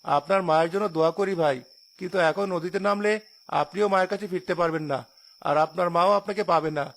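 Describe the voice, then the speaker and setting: A man's voice: high voice, steady tone, brisk, vocal fry, a bit bright. A man playing a devout, cautious boatman in a cartoon horror story, earnest and solemn. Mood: solemn warning. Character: devout, cautious boatman who reveres the river.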